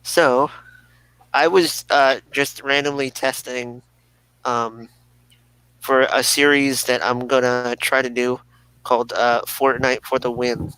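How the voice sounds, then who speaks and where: A man's voice: medium pitch, little pitch movement, slow, sharp tone, clean tone, poor audio. A man co-hosting a talk show in casual, unscripted conversation.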